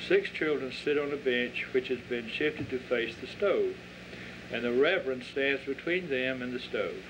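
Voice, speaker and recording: A man's voice: medium pitch, expressive, unhurried. A man narrating a scripted short story, reading out scene descriptions as the narrator. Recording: dull lo-fi audio with faint noise.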